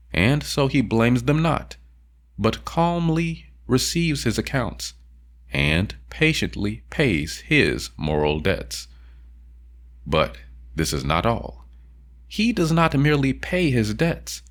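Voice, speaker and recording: A man reading a philosophical audiobook in a measured, emphatic way with deliberate pauses. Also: medium pitch. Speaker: audiobook reader. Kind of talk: scripted audiobook narration of a philosophical text.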